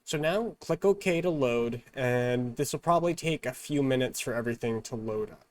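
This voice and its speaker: A man presenting a step-by-step software tutorial in an instructional, measured manner. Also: medium pitch, lively intonation.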